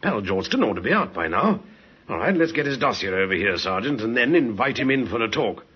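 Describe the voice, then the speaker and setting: An actor playing a brisk, methodical Scotland Yard inspector in an old-time radio crime drama, musing and courteous but commanding. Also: male voice, medium pitch, very animated, husky. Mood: musing, decisive. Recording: dull, noisy old radio recording.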